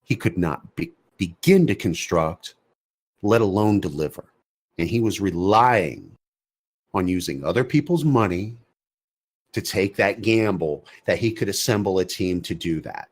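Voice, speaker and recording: A man's voice: sits low, animated, measured pace. A man co-hosting a podcast, giving blunt, sardonic opinions in a casual style. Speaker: podcast co-host.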